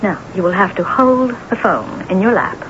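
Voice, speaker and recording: An actress playing a self-absorbed, temperamental, envious novelist in a theatrical, gushing old-time radio drama style. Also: female voice, medium pitch, sing-song, moderate pace, lightly acted. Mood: imperious. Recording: dull, noisy old radio broadcast.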